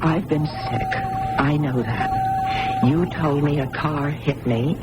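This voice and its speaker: A woman's voice: deep voice, expressive, takes it slowly. An actress playing a confused, fragile young woman in hospital with amnesia, childlike and earnest, in old-time radio drama style. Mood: vague, unsure. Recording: noisy vintage radio broadcast recording.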